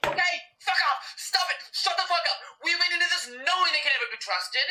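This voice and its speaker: A woman playing a fierce, blunt, protective hunter with a heated, forceful delivery in a dramatic roleplay. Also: high voice, animated, fast, far from the mic, exaggerated voice. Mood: furious.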